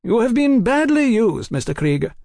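A man voicing a courteous, clipped gentleman, in a storybook reading. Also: extremely high pitch, very animated, moderate pace, creaky, no room sound, clean studio sound, pitched above the performer's own voice, heavily acted. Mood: cool. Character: genteel English gentleman agent, cool, polite.

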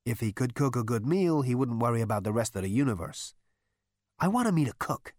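A man's voice: mid-range voice, lively intonation, a little fast, a little mellow, no echo, studio quality, close to an everyday voice. A man reading an audiobook, voicing a brash, food-obsessed space rogue who talks in flippant, demanding, incredulous slang. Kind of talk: audiobook character voice.

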